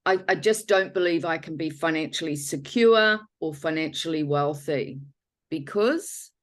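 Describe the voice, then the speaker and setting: A woman speaking as a healer guest, leading a guided healing talk in a rambling, self-correcting way. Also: fairly low pitch.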